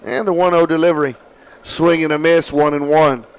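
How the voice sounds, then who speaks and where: A man calling softball play-by-play, his voice slightly raspy from allergies, with calm, measured calls. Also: fairly high pitch, poor audio. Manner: calm, measured calls, excited on big hits. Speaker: play-by-play announcer.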